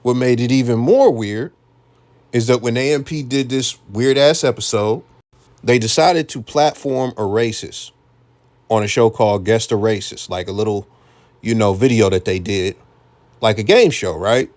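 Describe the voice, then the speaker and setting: A man's voice: mid-range voice, normal speed, a little mellow. An American man hosting a reaction commentary, talking bluntly and casually with frequent profanity. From United States. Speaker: commentary channel host.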